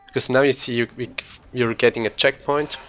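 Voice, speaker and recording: A man giving casual live commentary as he plays a game, haltingly thinking aloud. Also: medium pitch, fairly even intonation, fairly bright tone. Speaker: speedrunner commentating gameplay.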